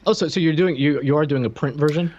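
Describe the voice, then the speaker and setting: A man's voice: a little high, fast, darkish tone. A man co-hosting a podcast in casual, hesitant, self-deprecating chat, on a dull lo-fi voice track. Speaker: podcast co-host.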